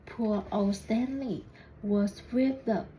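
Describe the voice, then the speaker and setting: A woman reading a children's storybook aloud in deliberate, halting phrases, putting questions to young listeners. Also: mid-range voice, fairly even intonation, dark tone, slight background noise, rough recording. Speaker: student reading a picture book aloud.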